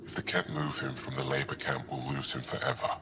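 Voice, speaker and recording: A man's voice: a bass voice, flat delivery, fairly quick, sharp tone, slight background noise. An actor playing an earnest, solemn young male alien resistance member in a video game. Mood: earnest, urgent.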